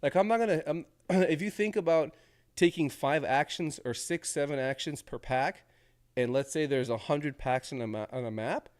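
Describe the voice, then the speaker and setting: A man's voice: fairly high pitch, moderate pace. A male streamer with a cold, sniffly as he gives casual commentary on game previews, thinking aloud and rambling with restarts.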